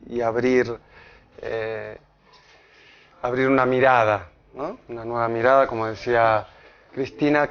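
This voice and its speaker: A man's voice: mid-range voice, a bit bright, lo-fi sound. A man with an Argentine accent giving a live talk to an audience, often restarting phrases and checking in with "¿no?". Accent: Argentine Spanish. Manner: halting, with many restarts and checks of "¿no?". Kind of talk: live public lecture. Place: lecture room with an audience.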